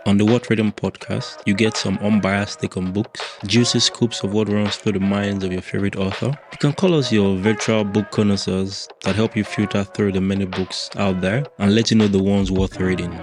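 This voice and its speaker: A Nigerian man co-hosting a book podcast, delivering a smooth introduction. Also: lowish voice, steady tone, moderate pace, hardly any pauses, over music. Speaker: podcast co-host.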